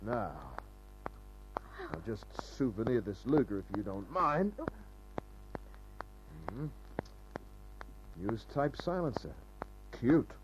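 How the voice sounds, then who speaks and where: A man's voice: mid-range voice, slow pace, pauses often. A man playing a cynical, laconic private detective in a 1950s-style radio crime drama. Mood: cool, wry. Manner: laconic, deadpan, wisecracking. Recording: dull, hissy old radio recording with hum.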